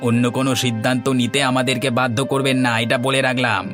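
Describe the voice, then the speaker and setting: Man acting a fast-talking, firm creditor. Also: mid-range voice, flat delivery, music in the background, natural voice. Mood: firm, reproachful. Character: firm, cold creditor businessman. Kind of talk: Bengali fairy-tale cartoon dubbing.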